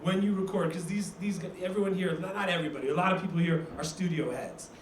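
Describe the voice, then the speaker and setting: High male host voice, off-mic, echoey room, halting questions. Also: far from the mic, a little hiss or hum. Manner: hesitant questions with many fillers. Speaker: interview host. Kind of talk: casual interview questions.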